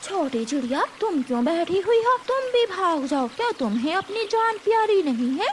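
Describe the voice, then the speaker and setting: Woman voicing a fierce, gloating cartoon eagle, high-pitched. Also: big pitch swings, normal speed, noisy background. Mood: taunting. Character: fierce greedy female eagle, menacing and vain. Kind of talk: Hindi cartoon story character voice.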